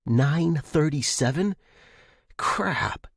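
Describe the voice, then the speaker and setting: Hungover young man for an audiobook, played by a male narrator: groggy, crude, husky, given to alarmed muttering to himself. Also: on the high side, animated, drawn-out words, dry recording, clean studio sound, voice pushed up, heavily acted. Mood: dismayed. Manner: muttering, exclamatory self-talk.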